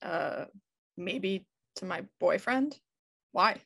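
A woman's voice: lowish voice, expressive, extremely slow, gravelly, fairly bright tone, breathy edge, no echo, clean studio sound, exaggerated voice. A woman playing a cool, offhand, trendy city woman in an audio drama, blase and clipped. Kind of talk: naturalistic audio drama read-through.

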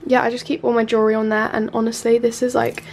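Nasal, congested Englishwoman, twenties, gravelly and fairly flat, casual vlog chatter on a camera mic. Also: on the high side, a little hiss or hum. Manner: chatty, filler-heavy, loose and unhurried. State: nasal from a cold. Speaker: vlogger. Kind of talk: casual room tour chat. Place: small student bedroom, indoors. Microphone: handheld camera mic.

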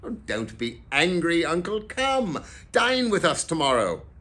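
A man voicing a cheerful, earnest young nephew in a storybook, speaking with eager, warm-hearted sincerity. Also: a little high, big pitch swings, unhurried, voice pushed up. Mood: pleading.

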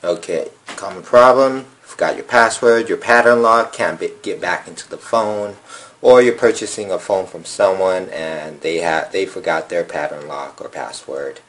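A man's voice: low voice, normal speed. A man casually walking viewers through a phone reset step by step, with many ums and uhs. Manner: relaxed, lots of fillers. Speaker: phone repair tutorial host. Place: indoor room at a table.

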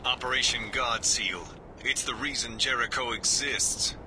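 An actor playing a grave, guarded soldier-priest in a video game, explaining in a solemn, earnest way. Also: male voice, medium pitch, a little slow, noisy background, close to an everyday voice. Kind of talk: video game cutscene acting.

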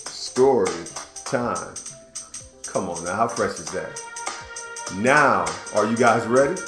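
Male storytime host, 30s, deep voice, playful kids' read-aloud in a home room. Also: slow, music in the background. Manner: animated, playful, stretches words for young listeners. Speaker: storytime host reading a picture book.

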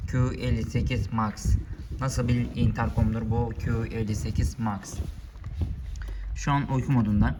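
A man presenting a product for a motorcycle shop, explaining its buttons one by one in a steady, formal way, recorded indoors under a building. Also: medium pitch, slight background noise. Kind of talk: product demonstration.

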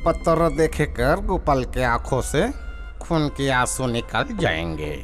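A slow, smug cartoon courtier. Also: male voice, mid-range voice, sing-song, soft background music. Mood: gloating. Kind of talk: Hindi cartoon voice acting.

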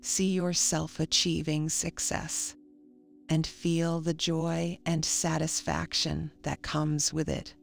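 A woman reading a scripted guided meditation in a calm, soothing, measured manner. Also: low-pitched, gravelly. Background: faint music.

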